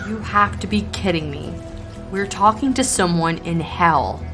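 A woman's voice: fairly low pitch, measured pace, music in the background, close to an everyday voice. A woman playing a sceptical, blunt teenage girl in a horror audio drama. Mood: irritated disbelief.

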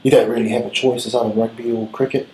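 A man in his thirties with a New Zealand accent, a rugby head coach giving earnest, measured answers in an interview. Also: mid-range voice, level tone, a little mellow. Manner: earnest, measured, with filler ums. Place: small room with gray wall, seated at table. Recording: roomy echo, faint room hiss.

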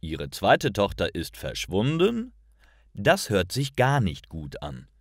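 Deep-voiced man, animated slow storyteller. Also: dry recording, clean studio sound. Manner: slow, careful, clearly articulated. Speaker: narrator of stories for German learners. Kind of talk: expressive scripted storytelling for language learners.

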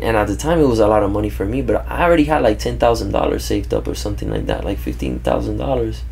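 Man, thirties, podcast host, easygoing chat, studio boom mic, faint background noise. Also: vocal fry. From grew up in Kentucky, born in Cuba. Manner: relaxed, says "bro" often. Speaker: podcast host and tattoo artist. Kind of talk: casual interview chat. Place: indoor studio with leather couch. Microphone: studio mic on boom arm.

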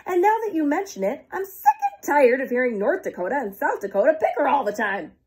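A woman voicing Nebraska, a kind, excitable state from a storybook, with high, squealing delivery. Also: unhurried, heavily acted. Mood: excited.

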